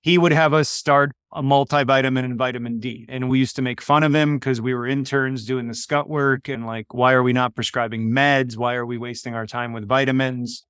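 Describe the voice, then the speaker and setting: A man who grew up speaking Polish first answers interview questions, telling his own story openly. His voice is smooth and resonant, and the close recording is dry. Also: mid-range voice, a little fast, hardly any pauses, dry recording. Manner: relaxed, candid storytelling. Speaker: podcast guest, doctor.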